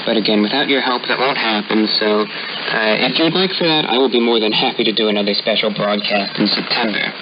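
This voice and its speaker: A man's voice: lowish voice, a little fast. A man hosting a radio music request show with courteous, formal announcements, heard over shortwave. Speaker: radio host. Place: broadcast heard over shortwave, captured on SDR receiver. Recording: muffled, noisy shortwave radio reception with static.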